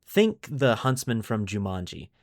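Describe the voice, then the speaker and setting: A man's voice: lowish voice, very animated, unhurried, dry recording, studio quality. A man hosting a podcast, explaining lore to friends in an informal, enthusiastic way. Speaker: podcast host.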